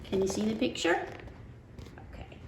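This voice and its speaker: A woman reading a picture book aloud to children in an expressive, deliberate way, often turning to her listeners with questions. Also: medium pitch. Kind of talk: read-aloud storytelling with asides to child listeners. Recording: echoey room with faint background noise.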